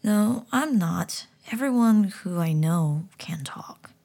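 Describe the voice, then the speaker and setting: A woman voicing a shy young boy who stammers and speaks high and eagerly. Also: animated, takes it slowly, vocal fry, darkish tone, exaggerated voice. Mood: timid eager.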